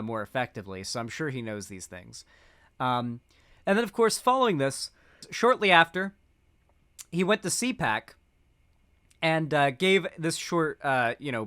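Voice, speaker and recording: A man's voice: mid-range voice, a bit bright, clear, resonant tone, no echo, studio quality. A man giving casual commentary on clips, rambling with frequent fillers and restarts. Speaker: political commentator.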